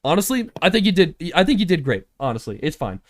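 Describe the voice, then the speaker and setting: A man's voice: a little high, big pitch swings, quick pace, no room sound, studio quality. A young man hosting a casual project update, talking in an informal, rambling way peppered with filler words. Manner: informal, rambling, heavy use of "like". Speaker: filmmaker and host.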